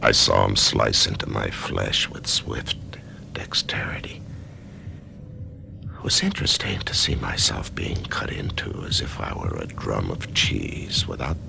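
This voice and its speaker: An actor playing an author who narrates his own death in a whispery, hushed voice, stammering with wonder, in a radio drama. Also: very deep voice, very animated, measured pace, gravelly, heavily acted. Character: dying male author, awed, curious. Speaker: narrator and lead.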